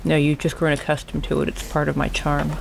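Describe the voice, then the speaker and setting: A woman on a podcast panel who talks with a wry, teasing edge. Her voice is gravelly, and clear noise sits behind it. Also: deep voice, completely flat delivery, a little fast. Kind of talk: casual podcast chat.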